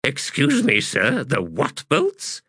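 A man's voice: mid-range voice, huge pitch swings, takes it slowly, bright, forceful tone, slightly rough, no echo, clean studio sound, pitched above the performer's own voice, full character voice. A man voicing a polite, puzzled military subordinate who questions his commander in an audiobook.

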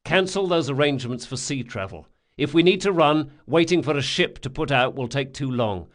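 Audiobook thief leader, played by a male narrator: male, sharp-witted, commanding, pitched up, emphatic when giving orders. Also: expressive, normal speed, close to an everyday voice. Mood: brisk commanding.

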